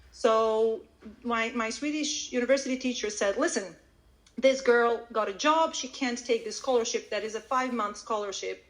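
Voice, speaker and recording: A woman with a fairly high voice talks casually at a live event. She is chatty and animated, often breaking into her own sentences as she tells her story. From Croatia. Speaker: language teacher.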